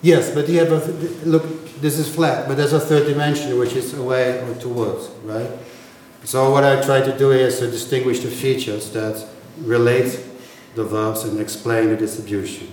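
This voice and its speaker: A man's voice: medium pitch, fairly even intonation, normal speed, darkish tone, a little hiss or hum. A man whose first language is Dutch, giving a university linguistics lecture and often checking understanding with "right?". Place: lecture room. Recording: echoey lecture room.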